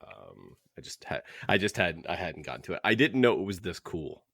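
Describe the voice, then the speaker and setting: A man co-hosts a podcast in a casual, wry way, leaning on phrases for emphasis. His pitch moves around a lot, and the recording is tight and dry. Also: sing-song, fairly quick, creaky, fairly bright tone, slightly rough, no room sound. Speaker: podcast co-host. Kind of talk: casual chat.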